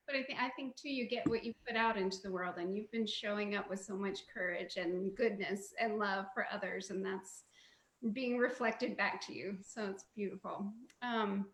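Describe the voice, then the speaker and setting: A woman's voice: medium pitch, fairly even intonation, moderate pace, fairly bright tone, roomy sound, poor audio. A woman hosting a casual video-call interview, affirming and upbeat toward her guest. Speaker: podcast host.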